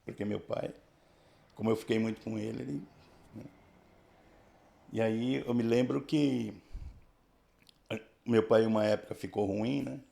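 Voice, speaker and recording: Gravelly-voiced man in his sixties. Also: lowish voice, steady tone, dark tone. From Brazil, São Paulo region. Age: 60s. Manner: halting, restarts, frequent tag questions. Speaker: church member giving testimony. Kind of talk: casual life-story telling.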